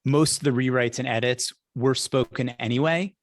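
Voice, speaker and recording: Male podcast host, mid-pitched and conversational, a practised speaker, recorded dry with little room sound. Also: fairly even intonation, moderate pace, no echo.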